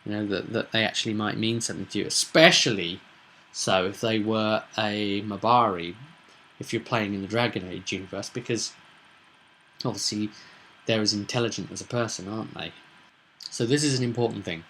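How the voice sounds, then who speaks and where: A man's voice: on the low side, steady tone, normal speed, a bit bright, slight background noise. A man hosting a tabletop roleplay vlog, explaining the game in a casual, rambling, conversational way with frequent asides to the listener.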